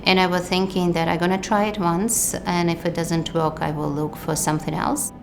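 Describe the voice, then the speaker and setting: A middle-aged woman from Ukraine with a Ukrainian accent, speaking in a measured documentary interview with hesitant fillers. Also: on the low side, little pitch movement, creaky, faint music behind. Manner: measured, thoughtful, hesitant fillers, non-native grammar. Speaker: physician and researcher.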